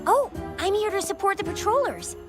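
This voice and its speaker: A woman voicing a friendly, eager young female patroller in a video game, chirpy and expressive. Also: very high voice, fairly quick, loud music behind the voice. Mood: curious, understanding.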